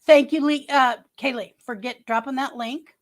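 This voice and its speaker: A woman's voice: high-pitched, fairly even intonation, moderate pace. A woman in her early sixties hosting a casual livestream from home, chatting and reading viewer comments in a rambling way with many restarts. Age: 60s. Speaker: livestream host.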